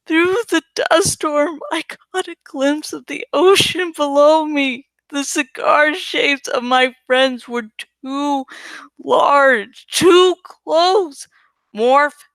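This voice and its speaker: Lady playing a worn out desperate girl telling her story, high voice, slow n dramatic audiobook style. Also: fairly bright tone, no room sound, heavily acted. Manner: slow, intense, drawn-out emphasis. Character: desperate, exhausted young girl narrating her morphing battle. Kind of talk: dramatic first-person audiobook reading.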